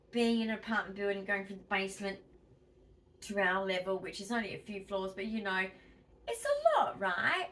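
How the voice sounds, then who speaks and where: A woman's voice: medium pitch, fairly bright tone, recorded from a distance, slight background noise, low-quality recording. An Australian woman chatting casually to her viewers in a home vlog, emphatic and chatty, and a bit wheezy. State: wheezy, tight-chested. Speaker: vlogger.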